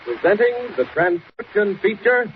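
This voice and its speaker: A man's voice: sits high, big pitch swings, a little slow. A man narrating and announcing a 1940s radio serial in a dramatic, declamatory manner. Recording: muffled, noisy old 1940s radio recording.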